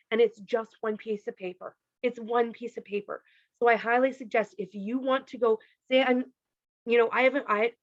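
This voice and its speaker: A fairly high-voiced woman from Canada talks her team through a pay plan on a video call. Her voice is wearing thin and a little hoarse, and she keeps dropping in "like" and "okay". The audio is dry and muffled. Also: no echo. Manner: chatty, peppered with "like" and "okay". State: losing her voice. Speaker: team leader presenting a compensation plan. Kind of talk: casual presentation on a video call.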